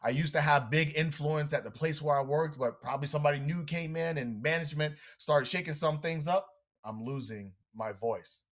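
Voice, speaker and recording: Animated man telling a motivational story. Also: a little high, moderate pace. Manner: animated, energetic, addresses listeners directly. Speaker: motivational speaker, podcast guest.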